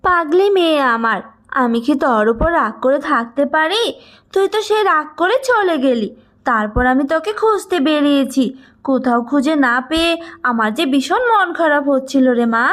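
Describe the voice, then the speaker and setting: A woman voicing an emphatic, fussing village mother, loving but quick to scold, in a cartoon. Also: high-pitched, expressive, fairly bright tone. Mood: tender, worried. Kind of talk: cartoon voice acting.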